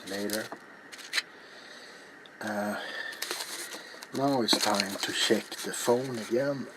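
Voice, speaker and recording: A middle-aged man narrating a craft in soft ASMR style, hushed and unhurried, often slipping into a whisper. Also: fairly low pitch. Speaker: ASMR crafting video host. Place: small cluttered indoor workshop. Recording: loud background noise, handling clicks.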